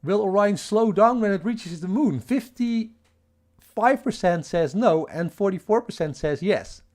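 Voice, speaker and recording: Dutch-accented male streamer, slightly high voice, rambling and chatty. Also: lively intonation, a little slow, a little mellow. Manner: rambling, restarts, chuckles, asks the chat. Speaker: livestream host. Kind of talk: casual livestream chat.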